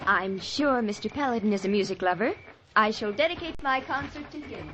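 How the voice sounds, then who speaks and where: Expressive, refined young lady. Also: a little high, a little fast. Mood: sweet, coquettish. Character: refined, coquettish young lady, the professor's niece. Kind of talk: old-time radio western acting. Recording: faintly noisy old radio recording.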